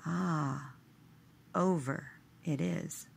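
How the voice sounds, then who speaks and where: A woman leading a scripted guided meditation in a measured, hushed manner, letting her phrase endings trail away. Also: low-pitched, vocal fry, husky.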